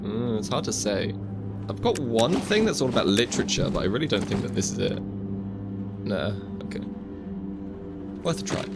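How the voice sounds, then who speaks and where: A man's voice: lowish voice, sing-song, moderate pace, gravelly, pauses often, loud music behind the voice. A young man casually commenting while playing a VR game, chatty and joking, with wry asides to the viewer. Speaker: gaming video host. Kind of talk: casual let's-play commentary.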